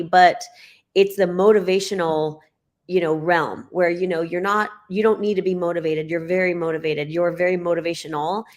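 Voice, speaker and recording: Upbeat woman hosting an interview. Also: lowish voice. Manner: upbeat, effusive, laughs easily. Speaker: host. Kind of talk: casual interview chat.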